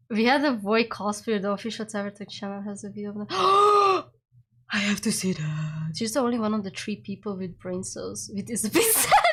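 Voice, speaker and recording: Giggly young woman streamer. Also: a little high. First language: Hungarian. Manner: giggly, rambling, talks in quick excited bursts. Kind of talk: casual chat with viewers during a game stream.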